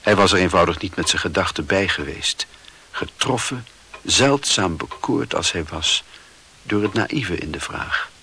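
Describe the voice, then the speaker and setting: Deep-voiced grave male narrator. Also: expressive, unhurried, sharp tone, a little hiss or hum, exaggerated voice. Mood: reflective. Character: grave, introspective male narrator of a literary tale. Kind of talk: literary radio play narration.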